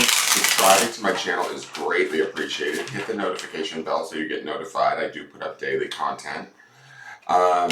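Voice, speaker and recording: A man with a stuffed-up voice, chatting casually as a tarot reader and rambling excitedly about the cards. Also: low voice. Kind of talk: casual chat to camera. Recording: echoey room from far off, loud noise.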